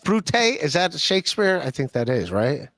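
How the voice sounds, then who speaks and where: A male podcast host with a slightly high voice reads out viewer comments. He teases his guest in a casual, easygoing way. His voice is recorded dry and close. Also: very animated, moderate pace, a bit bright, no echo.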